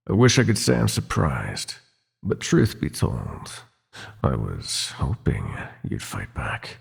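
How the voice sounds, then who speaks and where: A man's voice: rumbling low, exaggerated voice. A man playing a sinister, seductive prince of nightmares in an ASMR roleplay, gloating and possessive, with a theatrical, taunting purr that slips into whispers. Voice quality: gravelly, husky.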